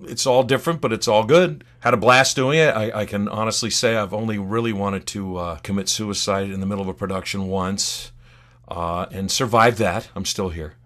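Older man with a low, wry voice. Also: moderate pace, fairly bright tone. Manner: wry, theatrical, self-mocking phrasing. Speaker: podcast guest, veteran theater actor. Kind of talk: casual humorous interview talk.

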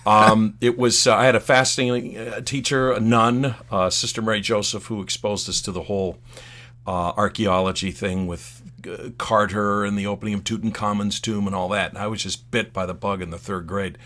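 Older man with a low, wry voice. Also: fairly even intonation, moderate pace, a bit bright. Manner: wry, theatrical, self-mocking phrasing. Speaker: podcast guest, veteran theater actor. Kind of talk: casual humorous interview talk.

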